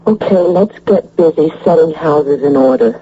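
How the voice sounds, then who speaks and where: An actress playing an earnest, rueful but brave widow in a radio drama. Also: female voice, low-pitched, moderate pace, warm tone, husky. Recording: dull lo-fi old radio recording.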